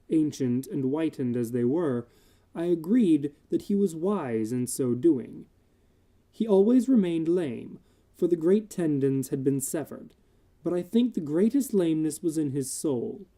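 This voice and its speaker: A narrator giving a measured, solemn dramatic reading of literary horror fiction. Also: medium pitch, warm tone.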